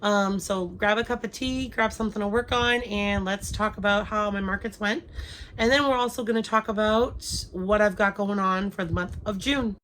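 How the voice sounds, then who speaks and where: A woman's voice: medium pitch, steady tone, moderate pace, poor audio. A young woman with a cold, chatting casually to her viewers with lots of ums and restarts. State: has a cold. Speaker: crochet vlogger. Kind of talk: casual chat to camera.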